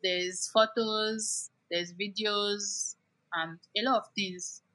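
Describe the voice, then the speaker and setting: A woman's voice: mid-range voice, fairly even intonation, slow pace, bright, forceful tone, low-quality recording. A woman guest explaining things in a casual chat, with lots of "like" fillers.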